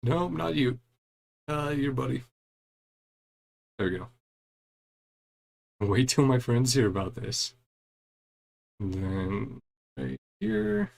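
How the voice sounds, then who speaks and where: Gravelly-voiced man casually playing a game. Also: medium pitch, big pitch swings, takes it slowly, pauses often, darkish tone. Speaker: gaming streamer. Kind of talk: casual game playthrough commentary, reading dialogue aloud.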